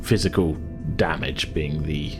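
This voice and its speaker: A man improvising as game master in a tabletop game, explaining things in a halting, chatty way. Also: very deep voice. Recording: music playing behind the voice. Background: background music.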